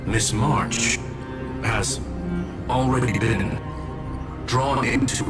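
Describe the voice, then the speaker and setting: An actor playing a calm, courteous robot scholar in a game, speaking in a formal, precise, measured way. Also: male voice, mid-range voice. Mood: urgent. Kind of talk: scripted game story dialogue. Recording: loud music under voice.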